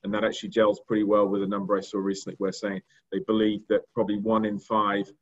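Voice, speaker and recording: A middle-aged man with a non-American accent talks through slides on a video call. His low voice stays flat, and his speech stumbles over fillers and repeats. The webcam audio sounds dull and lo-fi. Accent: non-American English. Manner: halting, many fillers and repeated words. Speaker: diagnostics company executive. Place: indoor room.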